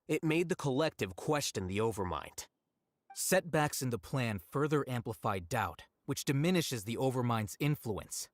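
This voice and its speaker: Male actor as rational young game scholar, mid-pitched, matter-of-fact, detached, very dry sound. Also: dry recording, lightly acted. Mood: detached, explanatory. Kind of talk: English video game voice acting.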